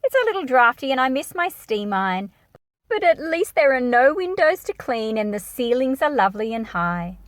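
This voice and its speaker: A woman reading the part of a crumpled older lady in a picture book, making do cheerfully in a matter-of-fact, expressive way. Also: high voice, normal speed, no room sound, studio quality. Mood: cheerfully resigned. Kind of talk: picture book read aloud.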